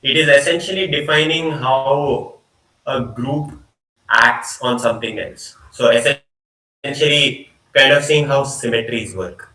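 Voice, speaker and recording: A man's voice: medium pitch, measured pace, bright, forceful tone, recorded from a distance. A young man giving an informal online maths lecture from a small room, repeating words and trailing off as he explains. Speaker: student lecturer. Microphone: laptop mic on video call. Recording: echoey video call audio.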